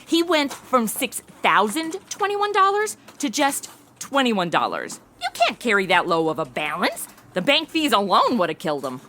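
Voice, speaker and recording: A tipsy, sardonic woman played by an actress in a comedy audio drama. Her voice is high and bright with animated swings in pitch, and her delivery is blunt and flippant. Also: moderate pace, slight background noise, heavily acted.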